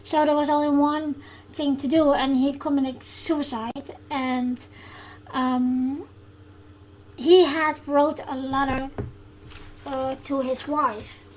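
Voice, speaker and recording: A woman with a Dutch accent hosting a solo podcast recap, speaking haltingly with frequent pauses. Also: high-pitched, steady tone, a little hiss or hum, rough recording. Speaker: podcast host.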